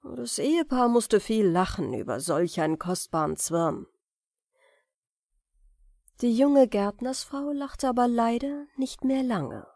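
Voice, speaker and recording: Woman reading a bedtime fairy tale, really unhurried, medium pitch, no room echo. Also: expressive, vocal fry, dark tone, clean studio sound. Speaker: audiobook narrator.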